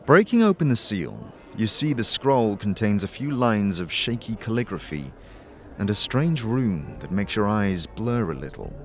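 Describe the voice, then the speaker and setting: A man narrating a scripted fantasy audio drama in a measured, dramatic storytelling manner. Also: on the low side, big pitch swings, faint music behind.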